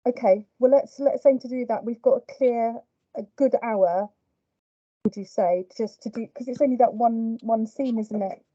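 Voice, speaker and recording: Young woman, practical, brisk, very mellow tone, lo-fi dry recording. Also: on the high side, no room sound. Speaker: actor in a table read. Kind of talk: casual chat. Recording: dull dry audio.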